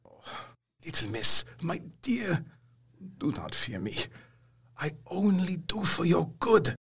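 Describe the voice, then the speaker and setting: Elderly foreign mentor, gravelly, widely swooping delivery, fierce yet kindly, theatrical, audio drama. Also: male voice, fairly high pitch, sing-song, slow, fairly bright tone, a little hiss or hum, heavily acted. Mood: tender, hushed. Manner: emphatic, theatrical, stilted foreign phrasing.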